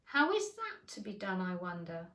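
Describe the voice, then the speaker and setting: A woman's voice: on the low side, huge pitch swings, moderate pace, fairly bright tone. A woman voicing a polite, puzzled little girl in a storybook, earnest, curious and a little prim. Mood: musing. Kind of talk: storybook character reading. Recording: roomy, echoing room.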